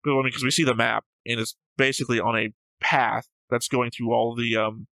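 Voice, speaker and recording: A man's voice: mid-range voice, little pitch movement, a little fast, gravelly, pushed and bright, no echo. A man co-hosting a reaction show, talking casually and methodically as he explains and argues out his analysis.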